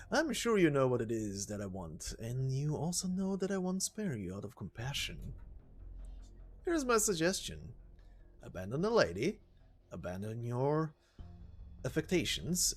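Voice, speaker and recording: A man voicing a sadistic, mock-polite gentleman villain in a game story, savoring his words in a theatrical, drawn-out delivery. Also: mid-range voice, slightly rough. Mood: mocking, calm. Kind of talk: dramatic game story voice acting.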